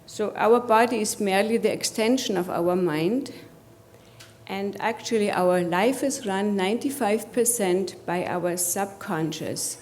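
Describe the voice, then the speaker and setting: A woman's voice: mid-range voice, measured pace, darkish tone. A woman from Germany with a German accent, giving a motivational conference presentation and putting rhetorical questions to the audience. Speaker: conference speaker.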